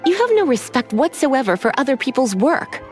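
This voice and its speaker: A woman voicing an elegant, languid witch librarian in a video game, coy and formal with quiet menace. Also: high voice, sing-song, faint music behind. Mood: coolly threatening. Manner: coy, unhurried, formal wording with teasing sighs.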